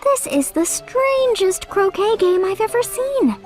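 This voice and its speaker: A woman voicing a curious, excitable young girl in a storybook audiobook. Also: extremely high pitch, unhurried, over music, voice pushed up. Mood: amazed. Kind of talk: audiobook character dialogue.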